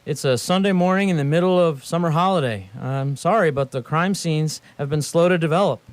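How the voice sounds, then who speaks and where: An actor playing an apologetic, matter-of-fact police sergeant, speaking formally with slight hesitation in a radio play. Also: male voice, on the high side, a little fast, no echo, natural voice. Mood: apologetic, businesslike.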